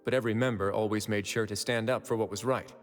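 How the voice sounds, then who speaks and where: A man voicing a calm, confident prison administrator in a video game, with a dry, easy way of talking. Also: lowish voice, quick pace, natural voice. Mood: explaining. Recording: game audio with long reverb and music.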